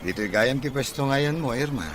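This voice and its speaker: A man's voice: medium pitch, animated, normal speed, over music, natural voice. A man playing a friendly, easygoing bachelor in an audio drama, with a casual, teasing manner. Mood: playful, friendly. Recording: noticeable background noise.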